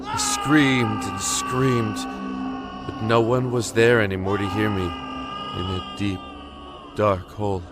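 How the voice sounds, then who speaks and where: A man's voice: on the low side, steady tone, over music. An actor playing a haunted young prisoner who tells of his descent into madness in a solemn, intense audio drama monologue. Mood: desperate. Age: young adult. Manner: solemn, deliberate, intense storytelling.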